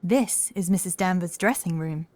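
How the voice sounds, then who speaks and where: A woman's voice: on the low side, normal speed, darkish tone, lightly acted. A woman playing a gracious, insistent housekeeper in a horror audiobook, speaking with polite, proper manners. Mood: pleased, polite. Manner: polite, genteel, proper phrasing. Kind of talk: acted audiobook dialogue.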